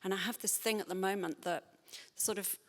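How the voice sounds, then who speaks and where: A woman's voice: mid-range voice, steady tone, a little fast, big-room sound. A woman writer answering audience questions in a thoughtful, hesitant way, with many fillers and restarts. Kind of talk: spontaneous public Q&A talk.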